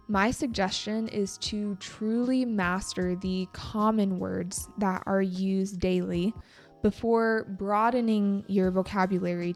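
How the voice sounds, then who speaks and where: Slow, encouraging woman. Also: mid-range voice. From United States. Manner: calm, encouraging, slow and deliberate. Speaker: podcast host and English teacher. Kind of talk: scripted educational podcast talk.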